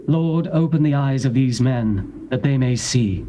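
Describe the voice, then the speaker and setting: An actor playing a stern, commanding prophet who speaks in a solemn, declamatory way. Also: male voice, medium pitch, unhurried, warm tone, natural voice. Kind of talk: dramatized scripture reading. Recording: faint tape hiss.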